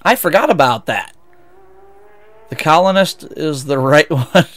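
A man's voice: a little high, expressive, measured pace, a bit bright. A young man with a Midwestern American accent, chatting with viewers while streaming a racing game, rambling and correcting himself as he goes. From United States. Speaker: VTuber streamer.

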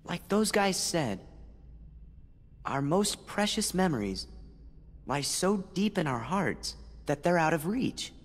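A man's voice: fairly high pitch, sing-song, takes it slowly, pauses often, in a large hall, full character voice. A young man with an American accent playing an earnest, wistful teenage boy hero in a video game. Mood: pensive. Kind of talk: video game cutscene acting.

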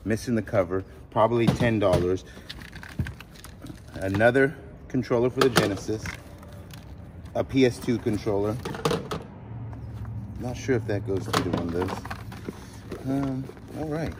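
Low-voiced man, noisy outdoor vlog. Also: unhurried, pauses often. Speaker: host of a storage auction vlog. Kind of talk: casual vlog chat and commentary while sorting finds. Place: outdoors at a storage unit lot, partly in a vehicle. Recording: noisy outdoor audio with vehicle sounds.

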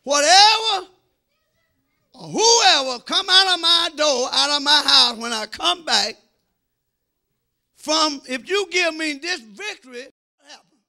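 A middle-aged man preaching an animated, emphatic sermon, repeating phrases and calling for response in a church, on a handheld microphone. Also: very high voice, slow, creaky, pauses often. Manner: emphatic, repeating phrases, swinging between shouts and quieter asides. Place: church sanctuary, speaking from the front.

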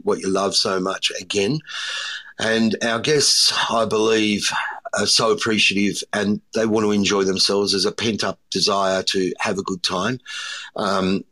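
Low-voiced man, flat and measured. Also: poor audio. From Australia. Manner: thoughtful, many fillers and restarts. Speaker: restaurateur and chef, guest. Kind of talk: relaxed interview answers.

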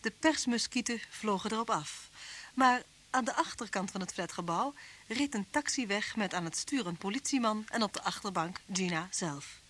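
A woman's voice: mid-range voice, expressive, normal speed, a bit bright, natural voice. An actress playing a motherly, good-humoured and capable inspector's wife in a radio drama. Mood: narrating. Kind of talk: radio drama acting and narration. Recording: old hissy radio recording.

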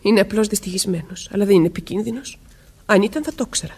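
An actress playing a troubled, defiant young wife under suspicion in a Greek radio drama. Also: female voice, medium pitch, a little mellow, husky. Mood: indignant.

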